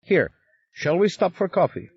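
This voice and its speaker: A big, smooth male envoy with a Scandinavian accent tinged with Eastern Europe, speaking in a patient, conciliatory way, as a narrator does him. The read sounds dry and a little dull. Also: high-pitched, big pitch swings, normal speed, a little mellow, no echo, voice pushed up, heavily acted. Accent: Scandinavian with Eastern European touch.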